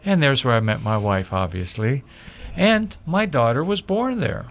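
An older man from Pennsylvania, a local candidate, telling his story slowly in an interview with pauses and fillers. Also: medium pitch, expressive. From Pennsylvania, USA. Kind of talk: interview answers.

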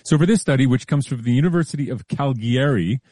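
A man explaining science news in a relaxed, careful way during a casual chat, with frequent hedges and restarts. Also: mid-range voice, fast, dry recording. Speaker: science columnist.

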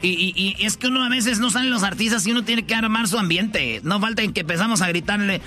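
A man with a Mexican accent hosts a casual radio call-in show. His voice sits high and rings out brightly over faint music. He teases and playfully scolds as he chats. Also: fast talker. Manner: teasing, mock-scolding. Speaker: radio host.

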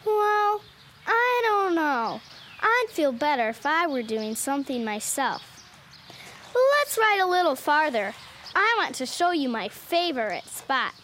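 Lady doing a little horse girl, super high lively voice, sure of herself, kids radio play, bit of music under. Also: unhurried, slight background noise, exaggerated voice. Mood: doubtful, independent. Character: confident, self-reliant young country girl who rides horses.

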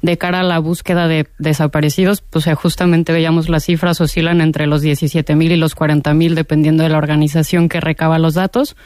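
A woman's voice: low-pitched, level tone, brisk, hardly any pauses, a little mellow, dry recording, clean studio sound. A woman with an accent from Spain, hosting and asking questions in a broadcast news interview. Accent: Spanish, from Spain. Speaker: radio host and interviewer.

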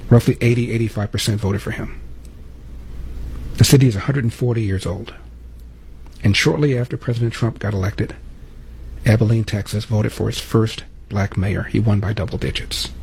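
Low-voiced American male political commentator. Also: steady tone, moderate pace, creaky, long pauses, darkish tone, slight background noise, rough recording. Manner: emphatic, rhetorical questioning. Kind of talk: opinionated political monologue.

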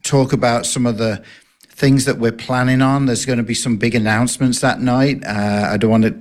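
A man's voice: medium pitch, steady tone, moderate pace. A man with a noticeable non-local accent, speaking as a ministry director guest in an earnest, casual radio interview. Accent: accent not native to the area. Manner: earnest, with frequent fillers and restarts.

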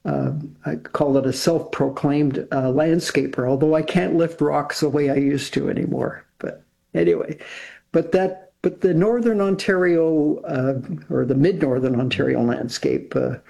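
A man's voice: a little high, unhurried, creaky, warm tone. An older Canadian man chatting as an interview guest over a video call, rambling with frequent restarts. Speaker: guest, composer and TV producer. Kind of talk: casual interview talk.

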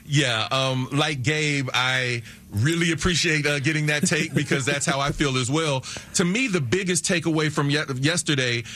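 A man co-hosts a sports talk radio show. His voice is bright and fairly high. He talks in a relaxed, conversational way. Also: moderate pace.

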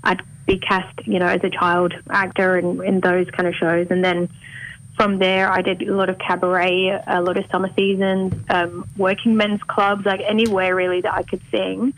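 A woman's voice: mid-range voice, flat delivery, moderate pace, rough recording. Welsh singer lady, accent kinda faded, chatty and laughy on a radio chat. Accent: mild north Welsh, softened toward English. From Wales. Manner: chatty and open, laughs easily. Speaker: guest singer. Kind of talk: radio interview.

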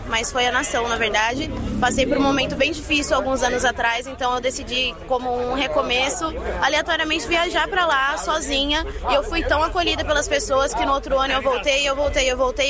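A woman with a high voice speaks earnestly in a street interview. There is crowd noise and music around her outdoors.

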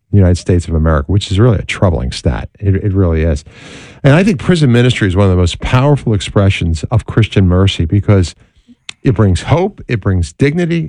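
A male talk show host with a low, mellow voice speaks earnestly in a close, dry studio. He talks casually with some fillers, then rises to a preacher-like emphasis on his key points. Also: no room sound. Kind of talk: talk show monologue and interview.

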